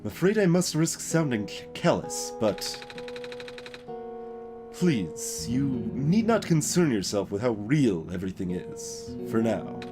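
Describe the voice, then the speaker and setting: An earnest young male game character, acted by a man, speaks carefully and formally, often halting. The delivery swings widely in pitch, and music plays behind the voice. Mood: anxious, grave. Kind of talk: voiced reading of video game dialogue.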